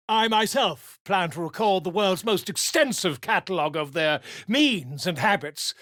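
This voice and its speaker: A pompous theatrical bard. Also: male voice, high-pitched, fairly bright tone, no echo, studio quality, full character voice. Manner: flowery, pompous, declamatory. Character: eccentric male bard-scholar, pompous and theatrical. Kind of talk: video game voice acting.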